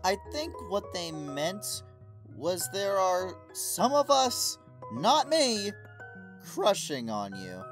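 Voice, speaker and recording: A woman's voice: mid-range voice, sing-song, takes it slowly. A woman playing a curious, somewhat hesitant questioner of cartoon robot characters in a fan comic dub. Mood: probing. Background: music.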